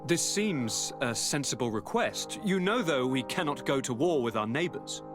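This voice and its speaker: Guy acting a fancy young aristocrat in a game, effusive and very animated, music behind. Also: mid-range voice, moderate pace, close to an everyday voice. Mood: courteous, breezy. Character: theatrical, courteous young nobleman and governor, affable cousin. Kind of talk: video game dialogue.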